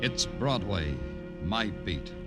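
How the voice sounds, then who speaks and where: An actor playing a hardboiled, brooding police detective in 1950s radio crime drama, florid yet terse. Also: male voice, fairly low pitch, animated, takes it slowly. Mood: brooding, dramatic. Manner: florid, terse noir delivery. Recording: old radio broadcast with loud music.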